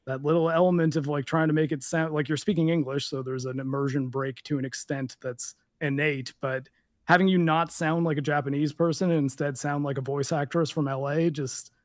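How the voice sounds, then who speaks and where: A man's voice: on the high side, steady tone, brisk, no echo, studio quality. A man in casual podcast chat about video games, rambling and opinionated, with many fillers and restarts. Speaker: podcast co-host.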